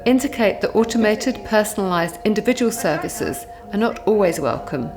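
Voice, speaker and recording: A woman's voice: mid-range voice, animated. A woman giving formal, scripted narration for a research documentary. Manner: measured, formal. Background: background music.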